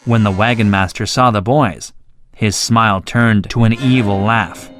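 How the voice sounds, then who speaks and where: A storyteller narrates a scripted tale for children in a mid-pitched voice. Music is clearly heard behind the narration. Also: fairly even intonation, measured pace.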